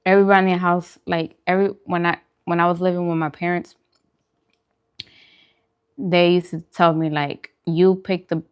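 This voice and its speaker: A woman's voice: lowish voice, level tone, normal speed, pauses often, clear, resonant tone, lo-fi sound. A woman hosting a food channel, chatting casually and informally with viewers during a mukbang livestream.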